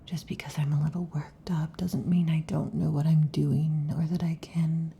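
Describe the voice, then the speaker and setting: Woman voicing a whispering, gravelly lamia girlfriend. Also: sits low, level tone, normal speed, slight background noise. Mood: teasing whisper. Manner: soft intimate whispering, teasing. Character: female lamia, snake-woman, coiling, possessive, overheated. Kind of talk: ASMR roleplay. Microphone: close sensitive mic.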